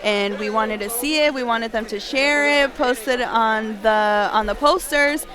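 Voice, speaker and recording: A woman's voice: on the high side, measured pace. A woman from Massachusetts chatting casually and enthusiastically as an interview guest. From Massachusetts, United States. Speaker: interview guest, school guidance staff. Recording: busy crowd chatter behind.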